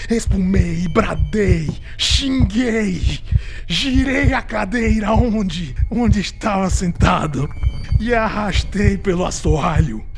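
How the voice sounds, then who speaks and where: A man's voice: on the high side, creaky. A Brazilian man playing a guilt-ridden, unhinged murderer who narrates a gothic horror audio drama in a theatrical, intense way. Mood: desperate, anguished. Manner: theatrical, intense, swinging from hushed to shouting.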